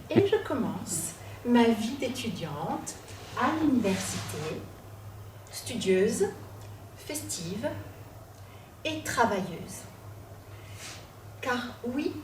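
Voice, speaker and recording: Young woman from corsica telling her story real slow, bit high voice, big echoey room, mic kinda far. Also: very animated, darkish tone, a little hiss or hum. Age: 20s. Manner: slow, dramatic pauses, self-mocking asides. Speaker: student storyteller. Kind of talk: personal storytelling talk.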